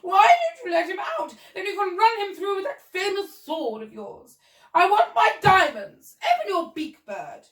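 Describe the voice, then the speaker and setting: A theatrical old Duchess shrieks and warbles in a very high, piercing voice. She is a former opera diva, and her voice rings out in a roomy echo, well back from the mic. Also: big pitch swings, measured pace, fairly bright tone, distant mic, full character voice. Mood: demanding.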